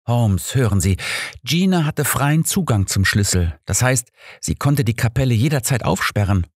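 A man's voice: medium pitch, expressive, moderate pace, slightly rough, no echo. A man plays a detective's loyal male sidekick in an audiobook. He argues his case step by step, reassuring and insistent, in a dry recording. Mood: reasoning, insistent. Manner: argumentative, reasoning. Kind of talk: audiobook character reading.

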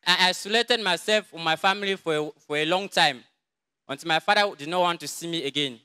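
A young man with a Cameroonian English accent gives a quiet, halting testimony in a large crowded church, speaking into a handheld mic someone else holds. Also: fairly high pitch, clear, resonant tone. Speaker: church member giving testimony. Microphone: handheld mic held by another person.

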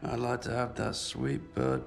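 A man acting a weary, homesick captive soldier in a war game, gravelly, wistful and downbeat. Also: medium pitch, big pitch swings, a little slow. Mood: weary longing. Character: weary, homesick male soldier held prisoner.

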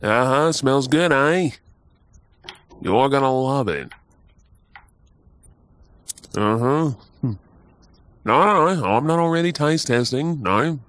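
An Australian man playing an affectionate, playful and caring husband in an intimate ASMR roleplay, soft-spoken and teasing. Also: medium pitch, lively intonation, slow, pauses often.

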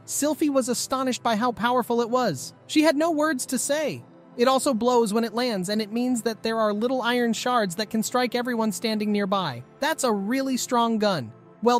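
A man with a somewhat high voice narrates a scripted manga recap. He tells the story in the third person as a recap narrator. Music plays behind his voice. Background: background music.

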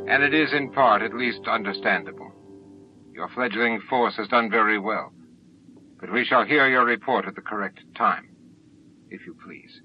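Hive grand master, male reader: formal, self-important, controlling, slightly deep, dull audiobook tape. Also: soft background music, close to an everyday voice. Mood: condescending. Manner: measured, condescending, formal. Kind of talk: audiobook character acting.

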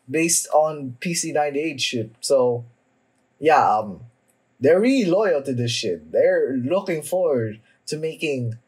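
A young man giving a casual, sweary reaction in a halting, informal way, sitting in a room at home. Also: a little high, darkish tone, slightly rough, poor audio. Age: young adult. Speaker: reaction video host. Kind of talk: casual anime reaction chat. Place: small indoor room at home.

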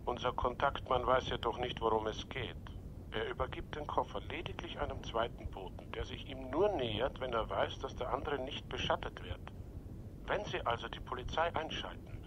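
An actor playing a cold, menacing gang boss in a radio crime drama, giving measured, threatening orders. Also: male voice, close to an everyday voice. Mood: menacing, controlled. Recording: noisy radio drama track with rumble.

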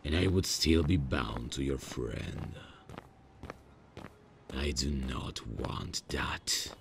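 A man voicing a proud, grumpy demon on an awkward date, deadpan and menacing with hesitant phrasing, in an ASMR roleplay. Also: a bass voice, very slow, vocal fry, noisy background, full character voice. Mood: grudging.

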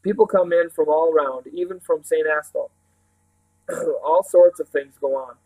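A man's voice: fairly high pitch, measured pace, gravelly, darkish tone, poor audio. Man playing a bustling, chatty village housekeeper. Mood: eager, chatty. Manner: chatty, rustic dialect turns of phrase. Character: bustling, fond, cheerful older village housekeeper woman.

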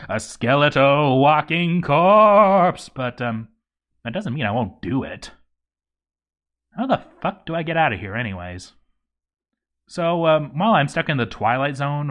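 A man's voice: mid-range voice, a bit bright, lo-fi sound, natural voice. A man voicing a grouchy, boozy zombie dwarf overseer in a game journal story, stammering and exasperated. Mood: rambling, grumbling. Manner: stammering, exasperated, hammy delivery. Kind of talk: dramatic reading of a game journal.

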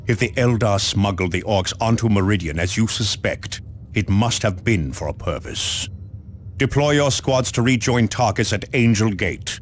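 A man playing an authoritative space marine officer in a video game, giving orders formally and gravely. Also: fairly low pitch, expressive, normal speed, a little hiss or hum, lightly acted. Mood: grave, commanding. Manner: formal, commanding.

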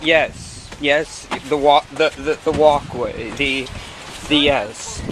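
A man's voice: a little high, takes it slowly, fairly bright tone. A young man talking casually to a handheld camera on a sunny beach, rambling with lots of restarts. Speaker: vlogger. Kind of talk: casual vlog chat. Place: sunny beach and boardwalk outdoors. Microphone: handheld camera at arm's length. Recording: clear wind noise on outdoor camera.

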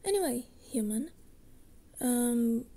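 A woman playing a hesitant, wry resurrected witch in an ASMR roleplay, with a soft, breathy voice. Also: fairly high pitch, animated, takes it slowly, exaggerated voice. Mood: gentle, curious.

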